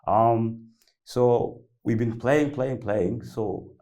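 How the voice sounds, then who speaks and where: A young man from South Sudan telling his story on a panel, halting and restarting often with many fillers. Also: medium pitch, fairly even intonation, mellow. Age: young adult. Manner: halting with many repeats and fillers, loose grammar. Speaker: panelist, youth founder. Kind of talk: panel discussion, personal story.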